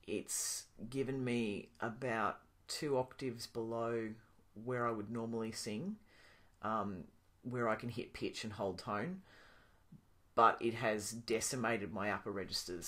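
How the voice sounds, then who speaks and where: A young man talking casually to his camera in a video diary, pausing often with ums and restarting his sentences. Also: lowish voice. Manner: relaxed, thinking aloud with many ums and restarts. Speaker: vlogger.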